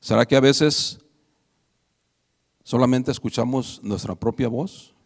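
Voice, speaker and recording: A man's voice: medium pitch, slow pace, vocal fry. A man preaching a conversational sermon to his congregation, asking them rhetorical questions. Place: church hall. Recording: reverberant church hall.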